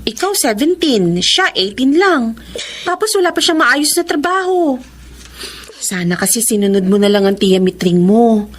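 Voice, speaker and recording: A worried, cautioning woman, fairly high in pitch with a very lively melody. She admonishes earnestly in radio drama style. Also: moderate pace. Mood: concerned. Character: worried woman gently admonishing a teenage girl.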